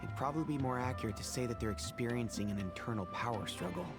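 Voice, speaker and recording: Man voicing a male mercenary leader, game voice acting, mid pitch, measured, clear music behind. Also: expressive, natural voice. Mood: serious. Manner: briefing, measured and serious.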